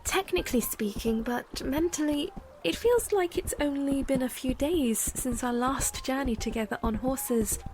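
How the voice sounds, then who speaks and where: A woman's voice: high voice, a little slow, hardly any pauses, darkish tone, rough recording. A woman playing an uneasy, hesitant young princess who startles easily, in an audio drama. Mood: thoughtful, unsure.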